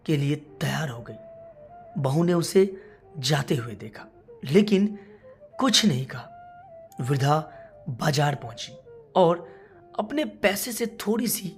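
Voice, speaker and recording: Low-pitched woman storyteller, slowly paced. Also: darkish tone. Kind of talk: scripted narration of an emotional Hindi bedtime story.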